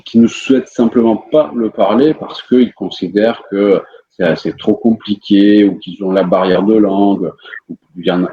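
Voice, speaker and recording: Ukrainian man, native Ukrainian speaker, French interview, low-pitched, halting with frequent fillers, slightly muffled recording. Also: mellow. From western Ukraine. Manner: hesitant, many fillers and restarts. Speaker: interview guest, musician. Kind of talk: casual interview answers.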